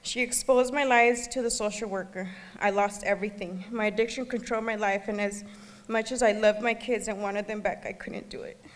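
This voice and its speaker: Woman giving testimony in a reverberant hall. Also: a little high. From El Salvador. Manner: earnest, halting, occasional restarts. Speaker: gala speaker. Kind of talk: personal testimony speech. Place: gala banquet hall. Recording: long reverb of a large hall.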